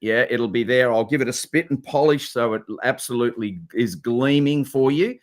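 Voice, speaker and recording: A man's voice: mid-range voice, normal speed. A man with an Australian accent, co-hosting a casual live-stream chat in a friendly, upbeat way with plenty of restarts. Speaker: co-host.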